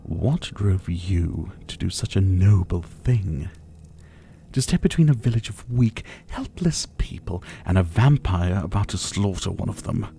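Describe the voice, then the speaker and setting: A man's voice: low-pitched, expressive, warm tone, a little hiss or hum, full character voice. A man playing a menacing, teasing vampire captor in an intimate ASMR roleplay, drawling and mockingly polite. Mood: probing, mocking. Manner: slow, drawling, mockingly polite, with breathy chuckles. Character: menacing, teasing male vampire captor, silver-haired, about seventy.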